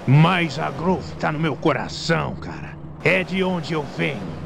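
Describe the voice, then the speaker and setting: A Brazilian man voicing a laid-back, gluttonous fat gangster in a video game fan dub, drawling slangy Portuguese with smooth excuses. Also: on the high side, very animated, measured pace, heavily acted. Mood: evasive, easygoing. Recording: noisy game audio with vehicle sounds.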